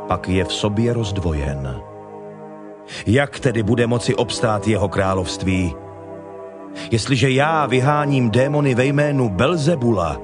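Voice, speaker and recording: Actor as solemn Jesus preaching over music. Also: male voice, medium pitch, natural voice. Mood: firm, reasoning. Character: Jesus, solemn, stern, authoritative preaching teacher. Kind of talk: dramatized Bible reading in Czech. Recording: music playing behind the voice.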